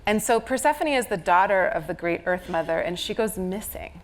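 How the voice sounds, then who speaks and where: A woman's voice: mid-range voice, animated, fairly quick. A woman with a slight head cold, speaking conversationally on stage as an astrologer explaining a chart reading. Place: on stage at a live venue.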